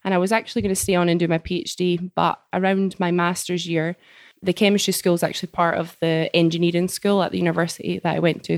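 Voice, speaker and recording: A woman's voice: fairly low pitch, darkish tone, no room sound, clean studio sound. A Scottish woman in her late twenties, chatting as a guest in an office interview, friendly, outgoing and self-deprecating. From Scotland. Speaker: guest engineer. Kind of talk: casual interview chat.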